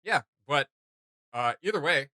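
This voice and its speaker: A man hosting a casual reaction video, giving gushing, slangy commentary in a halting flow. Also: a little high, expressive, bright, forceful tone, no room sound, studio quality.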